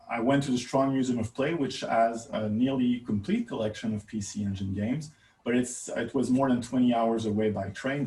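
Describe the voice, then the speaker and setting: A man's voice: mid-range voice, darkish tone. A male researcher presenting a conference paper in a hesitant, wryly emphatic way, recorded in a roomy space away from the microphone. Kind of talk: conference talk. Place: indoor room, recorded for a virtual conference. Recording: roomy echo, distant microphone.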